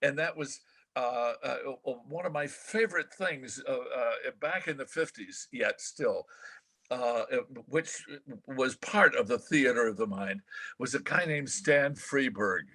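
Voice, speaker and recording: An older American man with a husky voice, reminiscing casually as a veteran broadcaster in a halting, rambling, animated way. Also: medium pitch, no echo. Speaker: veteran radio broadcaster, guest. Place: remote video call.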